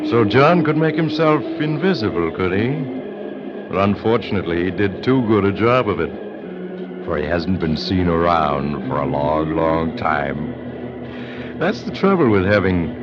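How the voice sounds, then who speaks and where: An actor playing a sly, sinister storyteller on a train, wry and mock-polite, in old radio horror style. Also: male voice, low-pitched, expressive, unhurried, heavy background noise. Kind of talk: 1940s radio horror narration. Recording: muffled old radio, loud music behind.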